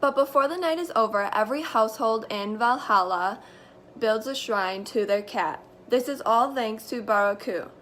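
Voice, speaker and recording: Deadpan teen girl narrating a made-up land. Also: fairly high pitch, moderate pace, a little hiss or hum. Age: teens. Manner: deadpan, mock-formal storytelling. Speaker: student presenter. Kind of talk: mock-serious school presentation narration.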